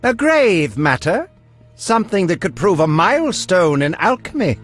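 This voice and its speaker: A man's voice: sits high, big pitch swings, normal speed, fairly bright tone, heavily acted. A man playing an eccentric, pompous, absent-minded alchemist in a video game, with theatrical delivery. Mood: self-important. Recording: music behind.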